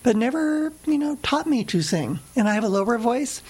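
A woman's voice: on the high side, animated, moderate pace, a little mellow, breathy edge. A woman in an interview about her music club, talking cheerfully and laughing often. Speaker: ukulele club member. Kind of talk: casual interview chat.

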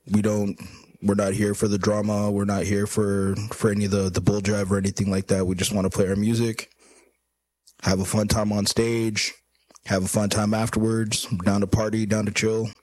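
A band's vocalist in his thirties, talking in a relaxed, easygoing way. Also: lowish voice, moderate pace, no room sound, rough recording. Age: 30s. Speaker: band vocalist. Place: living room of a house.

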